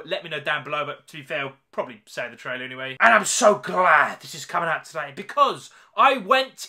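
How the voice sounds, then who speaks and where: British bloke doing a trailer reaction at home, high bright voice, loads of slang, hyped. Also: male voice. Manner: excitable, slangy, addresses viewers directly. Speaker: YouTube reaction host. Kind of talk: casual trailer reaction chat. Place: small home room full of collectibles.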